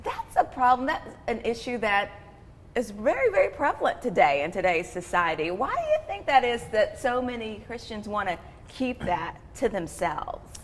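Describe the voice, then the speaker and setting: A woman's voice: a little high, big pitch swings, moderate pace. A woman hosting a conversational community TV interview. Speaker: talk show host. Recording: echoey hall reverb with background noise.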